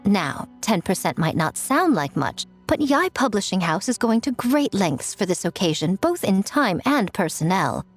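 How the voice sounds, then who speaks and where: A sly, lively, teasing fox-spirit lady. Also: mid-range voice, normal speed, few pauses, soft background music. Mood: coaxing, teasing. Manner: coy, smug, playful, polished. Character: sly, teasing, elegant female fox-spirit shrine maiden. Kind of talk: video game voice acting.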